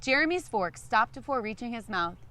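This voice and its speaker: A woman reading a children's picture book aloud expressively, like a teacher, with deliberate, emphatic phrasing. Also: a little high, lively intonation, sharp tone.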